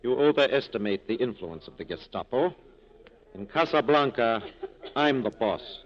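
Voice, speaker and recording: An actor playing a corrupt police captain in old radio drama style, urbane, ironic and elaborately polite. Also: male voice, medium pitch, expressive, moderate pace, lightly acted. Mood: amused, knowing. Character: suave, sly, corrupt male police captain. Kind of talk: 1940s radio acting. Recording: dull vintage radio recording with music.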